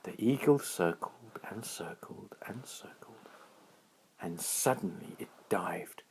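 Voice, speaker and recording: A man narrating a children's bedtime story in a dramatic, drawn-out storytelling manner. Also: low voice, lively intonation, gravelly, whispered, low-quality recording.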